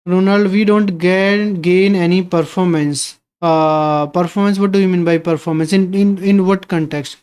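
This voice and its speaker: A man's voice: high voice, steady tone, moderate pace, few pauses, darkish tone, clean tone. Man answering chat on a stream. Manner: reads viewer questions aloud and answers conversationally. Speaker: live-coding streamer.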